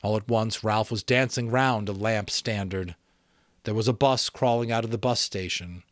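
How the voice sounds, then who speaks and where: A man reading an audiobook as dramatic narration, expressive, with the occasional stumble. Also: fairly low pitch, moderate pace, no room sound, studio quality. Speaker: audiobook reader.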